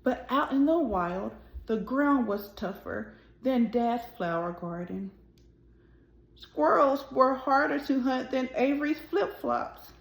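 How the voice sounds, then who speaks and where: Slow-paced woman reading to children, roomy sound. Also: sits high, expressive, gravelly. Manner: deliberate, addressing young children directly. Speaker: host of a children's read-aloud show. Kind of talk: children's storybook read-aloud.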